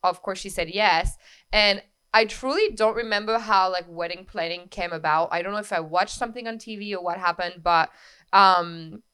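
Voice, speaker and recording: A woman in her thirties from France with a French accent, telling her story as a podcast guest in a lively way with quick asides. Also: mid-range voice, smooth. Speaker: guest, wedding coordinator. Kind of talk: casual interview storytelling.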